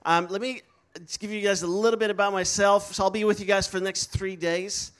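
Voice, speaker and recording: A man's voice: high voice, fairly even intonation, brisk, fairly bright tone, smooth. A young man giving an upbeat, encouraging talk and calling out to a live audience at a missions school. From Netherlands. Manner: upbeat, encouraging, calls out to the crowd.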